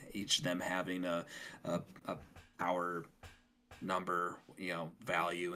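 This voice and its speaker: A man's voice: deep voice, sharp tone. A man giving a casual game review as a podcast co-host, recorded with slight noise. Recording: faint background noise.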